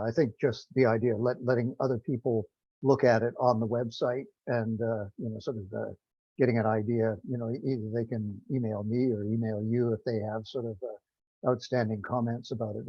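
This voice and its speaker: A man speaks haltingly as a participant in an online meeting, feeling his way through his phrases. His pitch is average, and the audio is dry and somewhat dull, as on a lo-fi call. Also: flat delivery, dry recording. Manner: hesitant, halting delivery. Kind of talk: informal online meeting talk.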